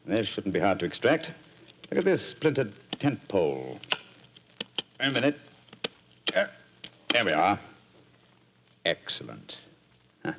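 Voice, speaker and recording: An actor playing a commanding, incisive English gentleman detective in a 1940s radio drama, with a crisp, declamatory British delivery. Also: lowish voice, big pitch swings, slow, pauses often, noisy background, full character voice. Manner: crisp, declamatory, self-assured. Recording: muffled vintage radio recording.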